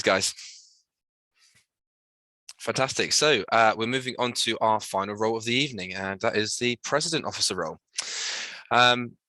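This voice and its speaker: A man hosting an online student election panel on a video call, upbeat and full of quick praise, with many fillers. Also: on the low side, sharp tone, no room sound. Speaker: hustings host.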